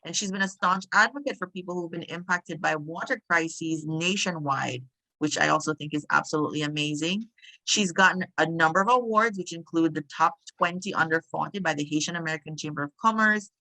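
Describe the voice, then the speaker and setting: A woman moderating an online panel by video call, introducing guests in a lively, chatty way with joking asides. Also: lowish voice, no echo, rough recording.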